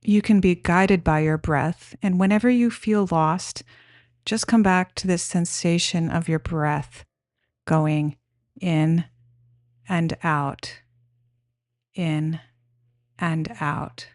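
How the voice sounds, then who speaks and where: A woman leading a guided meditation with gentle, unhurried, simple instructions. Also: lowish voice, dry recording, studio quality.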